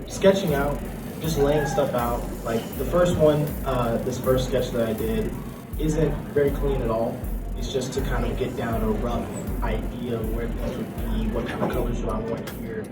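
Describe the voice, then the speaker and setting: A man's voice: mid-range voice, moderate pace. A man talking informally as an artist on a convention panel, explaining things in a casual, conversational way. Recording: roomy, distant mic, loud music behind.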